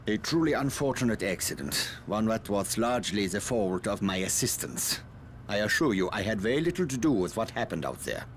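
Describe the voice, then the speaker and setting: Very deep-voiced, condescending scientist. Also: male voice, big pitch swings, brisk, slight background noise. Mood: self-justifying. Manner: formal, precise, explanatory. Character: evasive self-justifying male scientist, polite and condescending. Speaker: video game character. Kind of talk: dramatic video game cutscene acting.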